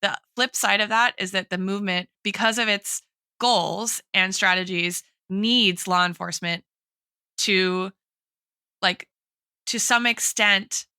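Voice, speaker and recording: A woman's voice: medium pitch, steady tone, measured pace, sharp tone, clean tone, no room sound, clean studio sound. A woman co-hosting a podcast, talking through arguments in an academic way, with frequent "like" and "right" as she goes. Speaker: podcast co-host. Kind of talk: analytical discussion.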